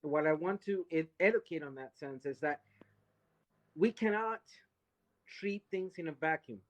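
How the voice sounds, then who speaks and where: A woman's voice: low voice, a little slow, highs cut off. A woman from Latin America making an emphatic, lecturing case during a live-stream debate. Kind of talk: impassioned live-stream argument.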